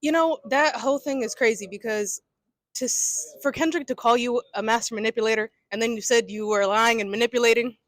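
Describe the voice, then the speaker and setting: A woman's voice: on the high side, a little fast, fairly bright tone, no room sound. A woman answering a street interview outdoors, talking casually but emphatically as she builds her point. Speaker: interviewee, passer-by.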